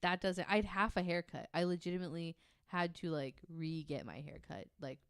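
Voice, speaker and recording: A woman's voice: lowish voice, fairly quick, clear, resonant tone, no room sound, studio quality. A woman on a podcast, casually telling anecdotes in a chatty, informal way. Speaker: podcast panelist. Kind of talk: casual chat, anecdotal storytelling.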